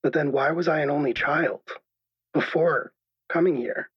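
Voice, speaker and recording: A man playing an anxious, troubled caller to a radio show, speaking in halting, apologetic stammers. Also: fairly high pitch, slightly rough. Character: anxious, troubled male caller unsure of his own reality. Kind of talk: improvised radio drama. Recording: dull lo-fi echoey call sound.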